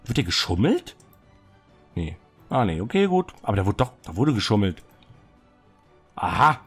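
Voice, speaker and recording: A young man with a cold, clearing his throat now and then, giving rambling, excited commentary while touring a game build. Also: fairly low pitch, normal speed. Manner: excited, fidgety, rambling with many fillers. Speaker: Minecraft server builder showing a city. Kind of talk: casual gameplay commentary. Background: soft background music.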